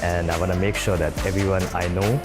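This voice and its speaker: A man speaking calmly and earnestly in a short interview clip about his work in security. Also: low-pitched, fast. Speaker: camp participant, security consultant. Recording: music bed under the voice.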